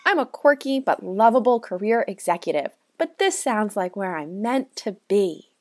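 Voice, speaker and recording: A woman's voice: mid-range voice, sing-song, moderate pace. A woman playing a gushing, lovestruck career woman with an earnest, over-sweet delivery in a parody radio play.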